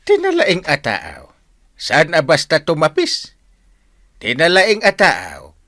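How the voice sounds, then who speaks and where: An actor playing an excitable village captain in a radio comedy-drama, with an emphatic, exclamatory delivery. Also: male voice, a little high, takes it slowly, dry recording, clean studio sound, full character voice. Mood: stern. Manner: emphatic, exclamatory, swinging between shouts and murmurs.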